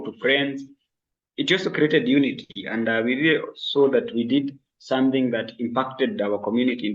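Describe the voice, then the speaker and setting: A young man telling a personal experience as a podcast guest. He speaks hesitantly, with many fillers and restarts. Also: mid-range voice. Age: young adult. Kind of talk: casual spoken testimony. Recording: muffled sound from a distant mic.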